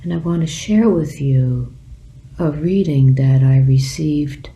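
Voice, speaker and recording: A woman leading a guided meditation as a teacher, speaking gently and leaving long pauses. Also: sits low, low-quality recording.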